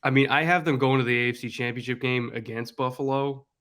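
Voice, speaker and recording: A man's voice: mid-range voice, fairly even intonation, fast, fairly bright tone. Confident man giving football analysis. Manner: confident, opinionated, explains at length. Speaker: guest analyst. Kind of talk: casual sports analysis chat.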